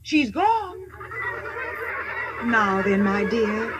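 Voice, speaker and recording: A woman playing a kindly, firm good witch in a 1950s radio play, gracious and measured with a breathy voice. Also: fairly high pitch, big pitch swings, heavy background noise, full character voice. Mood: kindly guidance.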